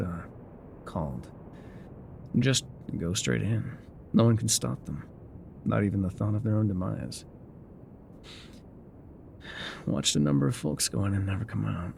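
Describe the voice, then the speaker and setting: A man's voice: fairly low pitch, gravelly, a little mellow, a little hiss or hum. A man playing an enigmatic, wry guide through dangerous wilds in an intimate ASMR roleplay, musing, teasing and often trailing off. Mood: uneasy, probing.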